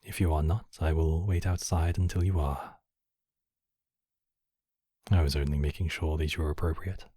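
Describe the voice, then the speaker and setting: A man voicing a courtly, possessive demon king, menacing yet tenderly protective, in slow, intimate ASMR roleplay with formal, measured phrasing. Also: very deep voice, steady tone, warm tone, slightly rough, no echo, clean studio sound. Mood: teasing, desirous. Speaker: voice actor in a roleplay. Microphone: close microphone, dry.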